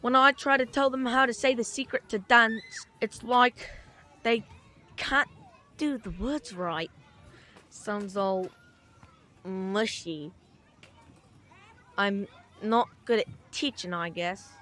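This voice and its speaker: Woman voicing a high, chatty little British boy. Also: fairly even intonation, takes it slowly, pauses often, bright, forceful tone. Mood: earnest, shy. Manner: childlike, frank, rambling. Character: chatty, cheeky, earnest little boy who loves comics. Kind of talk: audio drama acting.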